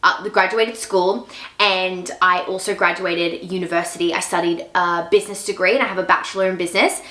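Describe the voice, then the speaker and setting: A woman's voice: fairly low pitch, fairly even intonation, fairly quick, sharp tone, roomy sound. A woman, chatting casually to camera in a Q&A vlog, full of "like" and "um". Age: 20s. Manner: chatty, many fillers like "like" and "um". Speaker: fitness content creator.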